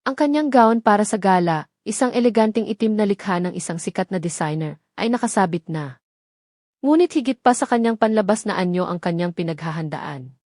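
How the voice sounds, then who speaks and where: A woman's voice: mid-range voice, animated, a little fast, a little mellow, dry recording, clean studio sound. A woman with a Filipino accent reading a scripted dramatic story as its narrator.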